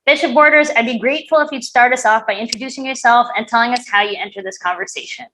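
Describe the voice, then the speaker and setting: Female panel moderator, forties, slightly high voice, articulate and earnest, delivering scripted opening remarks. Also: quick pace, pushed and bright, rough recording. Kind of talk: prepared introductory remarks.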